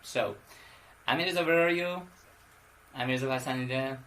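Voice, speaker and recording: Man teacher on a video call, mid pitch, hesitant, lots of fillers. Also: moderate pace, pushed and bright. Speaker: English teacher. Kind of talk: running an online class.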